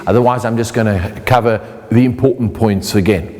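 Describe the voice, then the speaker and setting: A man's voice: on the low side, brisk, a little mellow, big-room sound. A middle-aged man with a South African accent, teaching an online motivational course in a playful way that speaks straight to the viewer.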